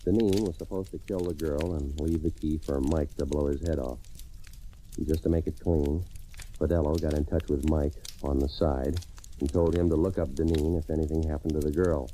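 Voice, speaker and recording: Very deep, dark-voiced hardboiled detective. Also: male voice, normal speed. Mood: deadpan, cynical. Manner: clipped, deadpan, slangy. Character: hardboiled male private investigator, cynical and terse. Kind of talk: 1940s radio noir narration. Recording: noisy old radio recording.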